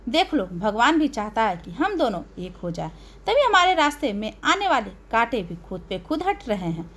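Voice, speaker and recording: A woman's voice: fairly high pitch, big pitch swings, normal speed, a bit bright. A woman voicing a pushy, callous young girlfriend in a story, speaking insistently.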